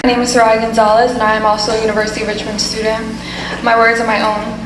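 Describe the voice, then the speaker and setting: Young woman reading a firm statement, a bit high voiced, big echo, faint room noise. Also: monotone, fast. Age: young adult. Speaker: student speaker at public hearing. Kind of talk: prepared public comment. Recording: echoey hall with faint ambience.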